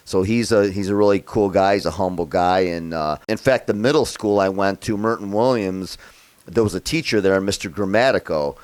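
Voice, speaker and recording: A man from upstate New York giving a relaxed, chatty piano lesson, thinking out loud as he plays by ear. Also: sits low, normal speed, clean tone. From Rochester area, New York, USA. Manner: relaxed and chatty, thinks aloud while playing. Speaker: piano tutor. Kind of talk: casual play-by-ear piano tutorial. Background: loud piano playing.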